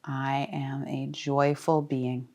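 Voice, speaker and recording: A woman leading a guided relaxation as a yoga teacher, speaking calmly and soothingly with deliberate pauses. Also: a bass voice. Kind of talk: guided meditation.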